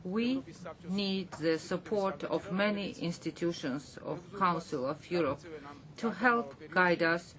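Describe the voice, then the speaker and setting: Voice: medium pitch, slow, crowd noise. An interpreter voicing a formal speech into English, hesitant and full of fillers and restarts. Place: assembly chamber.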